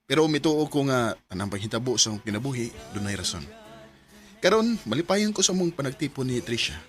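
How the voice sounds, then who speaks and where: A man's voice: mid-range voice, big pitch swings, brisk, soft background music, close to an everyday voice. An actor playing a devoted young boyfriend telling his love story in a radio drama. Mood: reflective.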